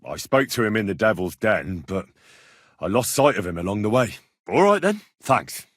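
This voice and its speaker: A man's voice: on the low side, big pitch swings, moderate pace, a bit bright, no room sound, clean studio sound. An actor playing a curious, plain-spoken and amiable young man of medieval Bohemia in a video game, with an inquisitive, conversational delivery. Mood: reasoning, firm. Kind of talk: video game dialogue voice acting.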